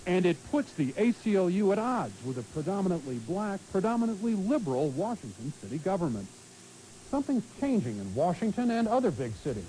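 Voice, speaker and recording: A man's voice: sits high, sing-song, fairly quick, dark tone, rough recording. An American man delivering scripted broadcast commentary on a hissy late-eighties television tape. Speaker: news commentator.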